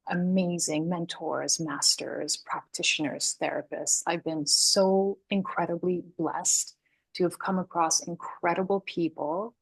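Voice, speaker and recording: A woman raised in Canada, a guest speaking thoughtfully and softly in an interview, laughing easily. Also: lowish voice, low-quality recording. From Hungary, raised in Canada. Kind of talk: reflective interview conversation.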